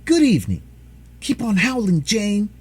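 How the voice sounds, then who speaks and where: A man's voice: high-pitched, sing-song, normal speed, a little mellow, slightly rough, pitched above the performer's own voice, exaggerated voice. A man voicing two young children, a boy and a girl, in a storybook reading, sounding anxious and raised. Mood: bold.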